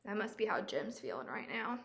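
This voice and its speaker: A woman's voice: mid-range voice, sing-song, brisk, fairly bright tone. A woman storyteller reading a picture book aloud, expressively explaining and asking young listeners questions.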